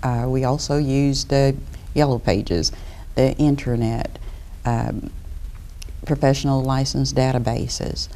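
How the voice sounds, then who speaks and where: Middle-aged woman from Florida, guest on a local cable interview show, mellow, methodical. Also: extremely low pitch, fairly even intonation, slow. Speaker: property appraiser guest. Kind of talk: studio interview.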